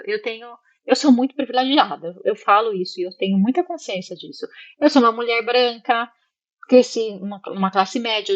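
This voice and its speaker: A woman with a Brazilian accent talks as a guest in a casual interview, at a middling pitch. She is expressive and reflective, often seeking agreement as she explains. The recording is slightly dull and lo-fi. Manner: expressive, acts out imagined remarks, seeks agreement with listeners. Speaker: interview guest, psychologist living in Italy.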